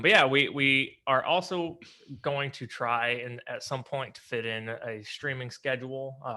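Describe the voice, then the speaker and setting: Guy hosting a casual gaming podcast, sharp bright voice, slight lisp. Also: mid-range voice. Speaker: podcast host.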